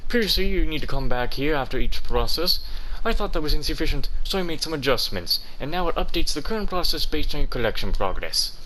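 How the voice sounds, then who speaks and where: Animated, chatty young inventor. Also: male voice, fairly high pitch, fast, a little hiss or hum, low-quality recording. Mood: eager, explaining. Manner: explanatory, theatrical, animated. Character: eager, chatty young male inventor and toymaker. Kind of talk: video game voice acting. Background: game music.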